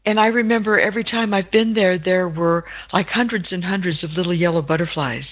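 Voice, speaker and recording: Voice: mid-range voice, fairly quick. An interviewer chatting casually and affirming the guest with short interjections. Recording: tinny phone-line audio.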